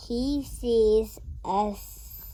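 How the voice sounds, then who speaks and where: A young girl reading a picture book aloud in a halting, word-by-word way. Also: a little high, mellow, low-quality recording. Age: child. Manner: halting, word-by-word early-reader delivery. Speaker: young reader.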